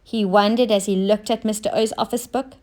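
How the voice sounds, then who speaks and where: A woman narrating a children's storybook in an expressive, deliberate way, with emphasis on key words. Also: medium pitch. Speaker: teacher reading stories aloud.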